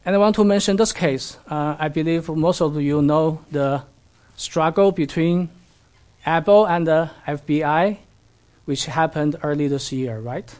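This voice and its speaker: A man whose first language is Chinese, giving an academic lecture in halting English with many fillers and asking the audience questions. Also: on the high side, a little mellow. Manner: halting non-native English with frequent fillers.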